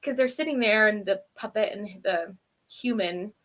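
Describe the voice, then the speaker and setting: A woman co-hosting a casual review, recapping in a chatty, rambling, giggly way with animated storytelling. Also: mid-range voice, normal speed. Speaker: review show host.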